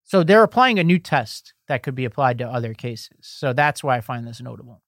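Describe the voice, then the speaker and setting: A man's voice: medium pitch, lively intonation, brisk, very noisy. A man co-hosting a podcast, explaining court rulings in casual talk with many "like"s and restarts. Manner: explanatory, filler-heavy, restarts. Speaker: podcast co-host. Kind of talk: casual legal news discussion.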